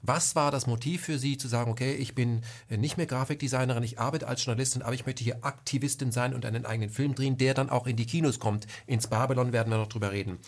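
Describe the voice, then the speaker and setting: Male host, brisk delivery, steady questions, frequent mhms. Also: medium pitch. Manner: fast, with frequent "mhm" backchannels. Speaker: interview host.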